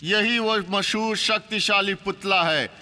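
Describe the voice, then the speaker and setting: A man's voice: high-pitched, measured pace, bright, forceful tone, heavily acted. A man voicing a cruel, pompous cartoon tyrant who gloats and menaces his captives. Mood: menacing. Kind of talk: animated cartoon dub acting.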